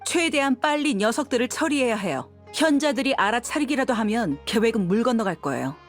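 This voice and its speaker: A woman's voice: on the high side, lively intonation, fairly quick, faint music behind, lightly acted. A woman voicing a confident, composed female mercenary who speaks politely in a video game. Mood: confident, sly.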